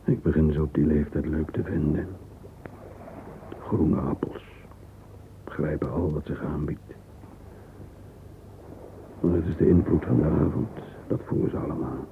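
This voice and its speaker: Wistful middle-aged man in a radio play, super deep voice, slow halting murmur, thin phone-like old recording. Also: vocal fry, heavily acted. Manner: murmuring, halting, fragmentary musing, sometimes whispered. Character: wistful, musing middle-aged man lost in private thought. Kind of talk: radio play interior monologue. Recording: narrow-band lo-fi old radio recording, hissy.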